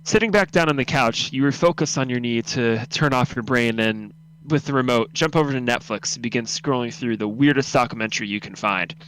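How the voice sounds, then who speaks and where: A man narrates an audio drama in the second person, reading from a script. His pitch sits in an ordinary middle range. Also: brisk, fairly bright tone, slight background noise, rough recording. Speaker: narrator of a radio drama read-through.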